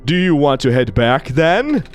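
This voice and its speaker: A man reading the lines of a young cat-person game hero in a deep, soft, hesitant voice. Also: big pitch swings, measured pace, soft background music.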